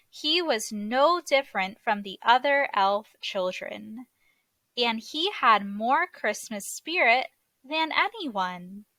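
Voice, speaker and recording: Female storybook narrator for kids, fairly high bright voice, unhurried and expressive, close dry sound. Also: no echo. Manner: expressive, deliberate read-aloud delivery. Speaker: teacher reading a children's story aloud. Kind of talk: scripted storybook narration for children.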